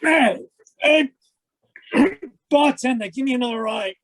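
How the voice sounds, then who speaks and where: An actor playing a cocky drunk who turns killer in a noir audio drama, demanding and taunting. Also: male voice, extremely high pitch, measured pace, fairly bright tone, full character voice. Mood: demanding, boozy.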